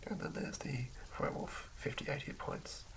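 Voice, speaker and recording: A man reading in a hushed, breathy ASMR whisper with a halting, measured delivery. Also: noisy background. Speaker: host of an ASMR channel. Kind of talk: ASMR reading of game rulebook.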